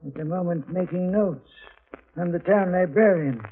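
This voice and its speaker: An actor playing an earnest old town librarian with formal, halting, bookish diction in 1940s radio drama style. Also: male voice, high voice, faint music behind, close to an everyday voice. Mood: formal, reserved. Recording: muffled vintage radio recording.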